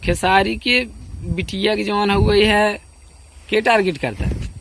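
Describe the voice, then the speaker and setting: A man's voice: high voice, steady tone, a little slow, fairly bright tone. A man with an Awadhi accent from eastern Uttar Pradesh rambles and repeats himself in a casual, complaining phone conversation, recorded outdoors in noisy surroundings. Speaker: comedian doing a phone-call skit.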